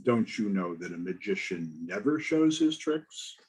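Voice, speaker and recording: A man's voice: on the low side, very animated, a little slow, a little mellow, slightly rough, full character voice. An older man reading a playful grandfather magician at a table read over a video call. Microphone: video call mic.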